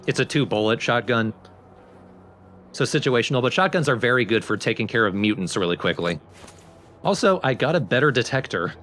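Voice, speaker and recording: A man's voice: mid-range voice, a bit bright, a little hiss or hum. A man giving casual, explanatory commentary on a video game as he plays. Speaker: let's play commentator.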